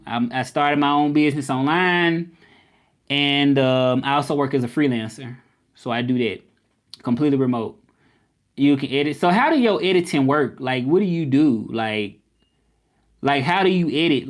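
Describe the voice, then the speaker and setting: A man's voice: medium pitch, moderate pace. A man hosting a casual live stream, chatting loosely with viewers and often repeating himself. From Memphis, United States. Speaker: livestream host.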